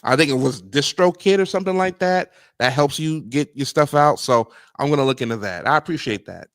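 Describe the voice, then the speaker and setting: A man co-hosting a casual livestream, relaxed and repetitive, hyping up his co-host. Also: fairly high pitch, brisk, no echo, clean studio sound. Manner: relaxed, repeats himself, warm hype-man talk.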